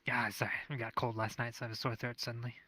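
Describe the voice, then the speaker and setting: Man playing weary townsman with a bright, dry voice. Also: mid-range voice, level tone, racing, no echo. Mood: tired. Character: weary, haggard, unassuming townsman called William. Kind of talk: improvised in-character roleplay in a social VR game.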